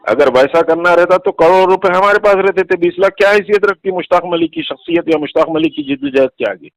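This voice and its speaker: A man's voice: high-pitched, lively intonation, fast talker, gravelly. A man with a Hyderabadi accent on a recorded phone call, defending himself insistently and repeating phrases for emphasis. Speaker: political activist being questioned. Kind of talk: defensive explanation in a phone conversation. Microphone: telephone.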